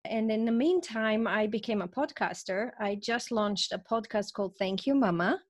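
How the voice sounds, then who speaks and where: A woman in her forties with a Croatian accent, speaking as a podcast guest and telling personal stories in an expressive, conversational way. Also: mid-range voice, moderate pace.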